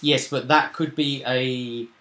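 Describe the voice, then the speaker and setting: A man hosting a casual recap of a tabletop roleplaying session as game master, telling it with dramatic emphasis and pauses. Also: mid-range voice, steady tone, sharp tone.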